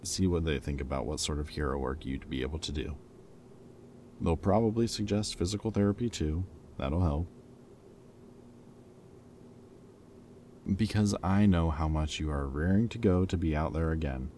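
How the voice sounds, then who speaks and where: Very deep-voiced wry ex-villain played by a man. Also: a little fast, warm tone, a little hiss or hum. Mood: worried, caring. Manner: casual, wry, teasing banter with sighs and chuckles. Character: teasing, caring male ex-villain planning with old hero friend. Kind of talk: intimate roleplay audio drama, conversational.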